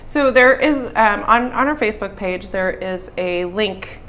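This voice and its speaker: A woman's voice: mid-range voice, unhurried, a bit bright. A woman in her thirties speaking as a guest from a local business, explaining casually. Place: small bar room, indoors. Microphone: clip-on lapel mic. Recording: faint room noise.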